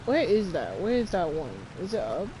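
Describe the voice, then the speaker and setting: Casting: female game streamer, mid-pitched voice, casual slangy grumbling, noticeable background noise. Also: very animated, normal speed. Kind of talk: casual gameplay chat.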